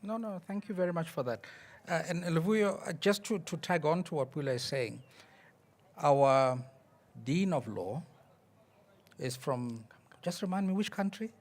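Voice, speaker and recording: A man, a university vice-chancellor, moderating a student panel with measured, probing questions. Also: on the high side, animated. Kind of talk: facilitating a panel dialogue.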